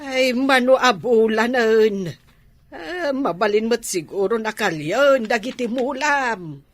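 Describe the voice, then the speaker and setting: A woman's voice: a little high, lively intonation, slow pace, dry recording. A woman with a Filipino Ilocano accent playing a doubting village mother of a grown son in an amateur comedy drama. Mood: skeptical, hopeful.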